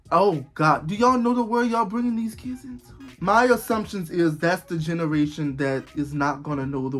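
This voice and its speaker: Twenty-year-old male vlogger, loose and rambling, keeps circling back in disbelief; faint music underneath. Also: on the high side. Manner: rambling, repetitive, exclaiming in disbelief. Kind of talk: casual talk to camera.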